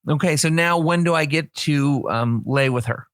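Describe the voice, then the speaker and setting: A man's voice: fairly high pitch, normal speed, dry recording, natural voice. A man playing an eager young caller on a phone dating service who insists too hard that he sounds manly, in a dry studio. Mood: insistent.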